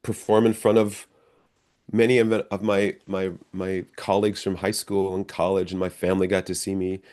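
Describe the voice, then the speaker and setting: A man's voice: deep voice, flat delivery, normal speed, a little mellow. A middle-aged man, an actor, answering interview questions in a reflective, conversational way. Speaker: stage and screen actor, interview guest.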